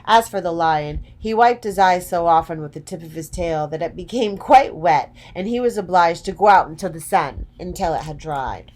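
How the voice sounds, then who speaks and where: A woman narrates a children's story as a storyteller. Her voice is on the low side, and her reading is expressive. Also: normal speed, hardly any pauses.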